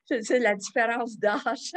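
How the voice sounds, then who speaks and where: An older woman, a guest in a casual remote interview, telling stories from her youth with restarts. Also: fairly high pitch. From Cornwall, Ontario. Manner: warm storytelling with laughs and restarts. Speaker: interview guest, community association president.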